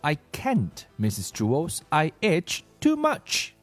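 Man putting on a whiny, higher-pitched itchy little schoolgirl. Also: sing-song, takes it slowly, soft background music.

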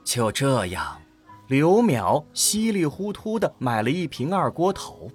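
Male narrator for scripted science fiction audio drama. Animated, expressive storytelling, faint music bed. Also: on the high side, slow pace, breathy edge.

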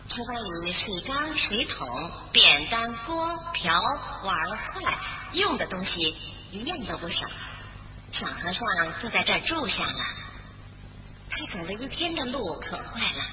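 A woman storyteller narrating a scripted children's bedtime tale in an expressive style. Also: medium pitch, slow pace, long pauses, a little hiss or hum. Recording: dull roomy recording with music behind.

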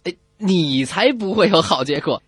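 A woman co-hosting a comedy radio show, trading scripted banter in an animated, bickering manner. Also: lowish voice, moderate pace, slightly rough, no room sound. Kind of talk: scripted comic banter between radio hosts.

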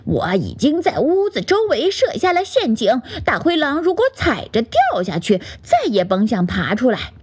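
A clever mother rabbit in a bedtime story, speaking in a raised, steady voice that sounds sure of itself, as a woman puts her on. She is firm and reassuring. Her tone is slightly breathy. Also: sits high, normal speed, few pauses, heavily acted.

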